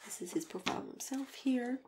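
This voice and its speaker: A woman in a soft-spoken ASMR role-play, often dropping into a whisper, confiding gently with pauses and small murmurs as she chats like a matchmaker. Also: fairly high pitch. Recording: clear background noise with keyboard typing. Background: typing and clicking.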